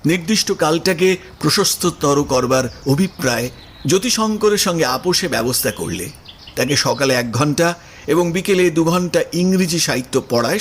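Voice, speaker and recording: A man reading literary prose as the narrator of an audio story. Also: on the high side. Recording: music under the voice. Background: background music.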